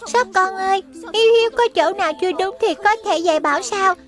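A woman voicing a small, gentle rabbit who reasons in a childlike way, in a dubbed children's cartoon. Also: very high voice, fairly quick. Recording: music behind the voice.

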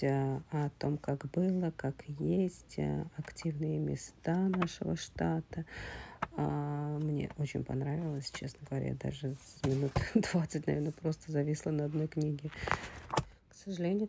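Soft-spoken, low-voiced, slightly ill woman. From Russian-speaking, living in the US. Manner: chatty, with many fillers, often breathy and half-whispered. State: slightly ill, speaking softly. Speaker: vlogger. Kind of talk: casual unboxing vlog narration. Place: home, indoors. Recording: loud background noise.

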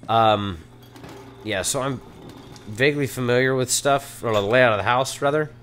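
A hoarse man with a Texan accent, chatting nervously and muttering as he plays a horror game, swearing at the scares. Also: mid-range voice, normal speed, a bit bright, a little hiss or hum. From Texas. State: hoarse from allergies. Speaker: let's play gamer.

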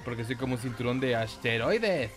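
A man's voice: medium pitch, lively intonation, a little fast. A man with a Chilean Spanish accent giving casual, playful gameplay commentary, joking and swearing as he goes. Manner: playful, joking, sweary, with silly sound effects and singsong. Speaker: gaming YouTuber. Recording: game music behind the voice. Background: video game music.

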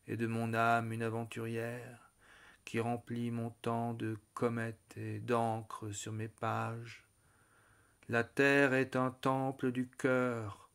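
A man recites literary verse at a very slow pace. His medium-pitched voice stays level, with little melodic movement. He sets out each short phrase deliberately and leaves pauses between them. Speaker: poetry reader.

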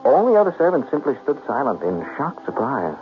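An actor playing a smooth, calculating gambler who narrates his plot in a 1970s radio drama. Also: mid-range voice, wildly swooping pitch, a little fast. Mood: sly, confiding. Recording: tinny, noisy 1970s radio broadcast.

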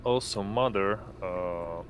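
A man narrating as game master in a tabletop game, halting and pausing mid-sentence. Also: on the low side. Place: indoors, online voice call. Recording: noisy home mic, steady hum.

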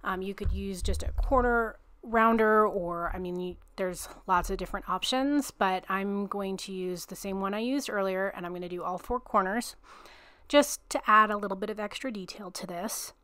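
A woman hosting a card-making tutorial, talking through each step in a relaxed, chatty way with a self-deprecating touch. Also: mid-range voice, normal speed, no room sound. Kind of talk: step-by-step craft tutorial narration.

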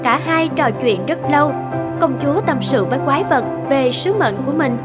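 A woman's voice: high-pitched, lively intonation, normal speed, loud music behind the voice. A woman reading scripted storybook narration for a fairy-tale cartoon.

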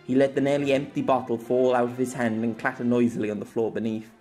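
A man's voice: mid-range voice, flat delivery, fast talker, a little mellow, faint music behind. Man narrating an action story dramatically. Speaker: audiobook narrator and author.

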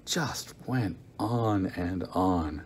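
A man's voice: low-pitched, lively intonation, extremely slow, breathy edge. Chatty middle-aged American man, wry. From United States. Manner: chatty, wry, self-mocking storyteller. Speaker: vlogger. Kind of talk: casual video diary. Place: hotel room, indoors. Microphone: phone camera at arm's length.

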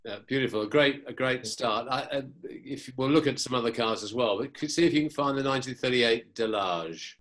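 Brisk fast-talking man moderator. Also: mid-range voice, a bit bright. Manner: brisk, prompting others. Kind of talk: moderating panel on video call. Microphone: video call.

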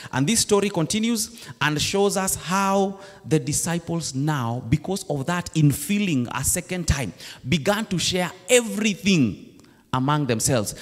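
A man with a Kenyan accent preaching a sermon in a church, switching between English and Swahili slang. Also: a little high. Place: church hall. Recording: long church reverb.

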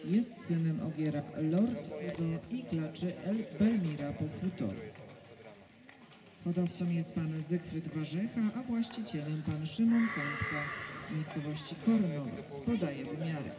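A man announcing over a loudspeaker at an outdoor horse show, reading the details out formally. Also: fairly high pitch, lively intonation, unhurried. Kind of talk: public address announcement. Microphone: PA loudspeaker heard from a distance. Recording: echoey PA heard from far off, crowd around.

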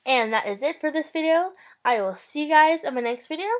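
A woman's voice: sits high, brisk. A young woman telling a story to her webcam in a casual, upbeat vlog, gesturing as she talks from a small bedroom. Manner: chatty, upbeat, animated hand gestures. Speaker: vlogger. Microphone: webcam at close range.

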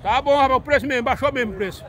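High-voiced Northeast Brazilian man touting cattle. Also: rapid-fire. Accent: Northeast Brazilian Portuguese. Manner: lively, repetitive sales patter with frequent "ó". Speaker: cattle fair video host. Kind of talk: walking tour, calling out cattle prices. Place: outdoor cattle fair pens, busy crowd. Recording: crowd noise behind.

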